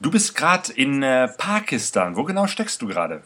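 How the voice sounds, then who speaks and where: A man's voice: mid-range voice, huge pitch swings, moderate pace, sharp tone. A man hosting an interview, asking questions in a hesitant way with many fillers. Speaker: host. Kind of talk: conversational interview.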